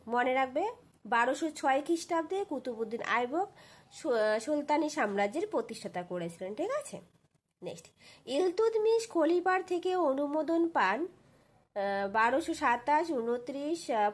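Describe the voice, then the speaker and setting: Female tutor, very high voice, walking school pupils through questions, often checking they follow. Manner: instructional, frequent checking tag questions. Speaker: teacher.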